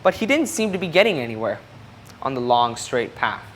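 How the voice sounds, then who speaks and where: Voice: medium pitch, big pitch swings, fairly quick, fairly bright tone, slight background noise. A person reading a children's picture book aloud expressively, explaining things and putting questions to young listeners. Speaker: storybook reader.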